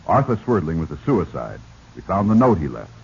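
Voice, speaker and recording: A man's voice: low-pitched, big pitch swings, fairly quick, creaky, husky, heavily acted. An actor playing a blunt, plain-spoken male investigator in a 1940s radio drama. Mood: puzzled, insistent. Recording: muffled, noisy old radio recording.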